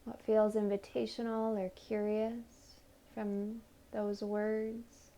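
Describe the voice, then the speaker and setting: A woman leading a guided meditation in a soothing, gentle manner, offering unhurried invitations. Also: mid-range voice, little pitch movement. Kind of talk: guided meditation, body scan narration.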